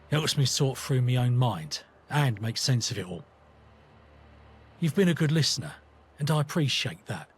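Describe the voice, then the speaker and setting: An actor playing a conflicted, earnest British rebel in a video game, talking in a matey, reflective, colloquial way. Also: male voice, medium pitch, breathy edge, full character voice. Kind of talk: scripted video game companion dialogue.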